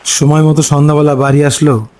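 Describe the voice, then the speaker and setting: A man narrating a scripted horror story in a dramatic, suspenseful way, pausing between short phrases. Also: fairly high pitch, level tone, a little mellow. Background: background music.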